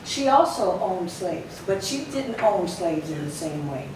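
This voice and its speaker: A woman's voice: on the low side, a little slow. Woman speaking in an echoey hall. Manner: lively, emphatic storytelling with fillers. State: voice weaker than usual. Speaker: society spokesperson. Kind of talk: speaking at a ceremony. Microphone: podium microphone. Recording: echoey hall from far off, noisy.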